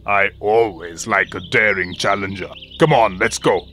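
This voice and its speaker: An actor playing a furious, imperious lion tyrant in a cartoon, pompous and menacing. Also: male voice, lowish voice, normal speed, sharp tone. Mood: indignant, challenging. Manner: pompous, menacing, growling threats. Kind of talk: animated cartoon acting. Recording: music behind the voice.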